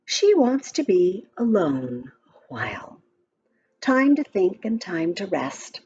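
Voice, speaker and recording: A woman reading a children's picture book aloud in a gentle, expressive storytime voice. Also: medium pitch, slow, dull audio.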